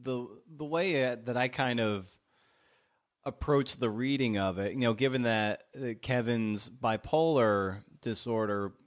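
A man hosting a podcast, posing questions conversationally in a halting, roundabout way. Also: mid-range voice. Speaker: podcast host interviewer. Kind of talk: conversational interviewing.

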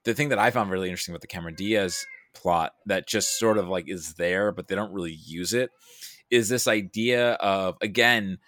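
A man talking casually about films, thinking aloud in a halting, animated way with self-quoting asides. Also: sits low, no echo. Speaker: podcast guest discussing film. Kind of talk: casual film discussion.

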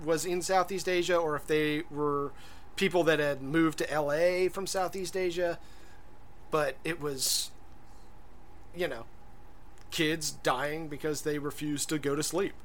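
A man's voice: a little high, steady tone, measured pace, pauses often, a bit bright, slight background noise. A man co-hosting a podcast in casual chat, rambling and hesitant, full of fillers and restarts. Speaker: podcast co-host.

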